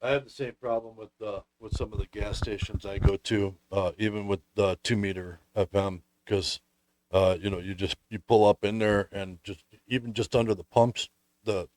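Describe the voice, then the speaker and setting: A middle-aged man hosting a casual conversation, talking in a halting way as he thinks aloud. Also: fairly low pitch, steady tone, darkish tone, dry recording. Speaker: host.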